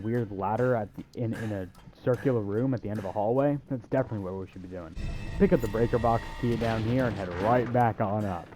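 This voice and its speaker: A man's voice: on the low side, dark tone. A man giving a casual video game walkthrough in an offhand way, with wry asides. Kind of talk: casual gameplay walkthrough commentary.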